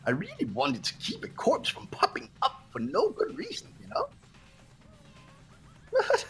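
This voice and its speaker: A performer voicing a sadistic, gleeful robot bear headmaster in a game, with a raspy cartoonish voice and a theatrical, taunting manner. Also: medium pitch, a bit bright, exaggerated voice. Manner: theatrical, mock-innocent, swooping, taunting. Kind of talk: fan voiced visual novel playthrough.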